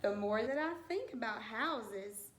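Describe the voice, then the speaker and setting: A woman teacher reading a rhyming picture book aloud to young children, with deliberate, singsong storybook phrasing. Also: on the high side, animated, fairly bright tone. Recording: roomy echo with some background noise.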